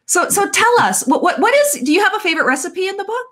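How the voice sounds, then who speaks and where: A woman's voice: very high-pitched, sing-song, fast, a bit bright. A middle-aged woman with an American accent, interviewing conversationally in a chatty, agreeable way on a video call. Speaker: interviewer, host. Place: indoor room, on a video call.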